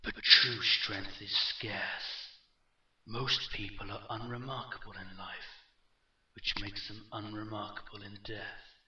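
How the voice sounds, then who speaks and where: A man voicing a mocking, commanding undead warlord in a fantasy audiobook, with slow, imperious delivery. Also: fairly low pitch, level tone, echoey room, recorded from a distance, highs cut off, slight background noise, exaggerated voice. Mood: cold, haughty. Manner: slow, mocking, rising to shouted intensity. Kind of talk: fantasy audiobook character dialogue.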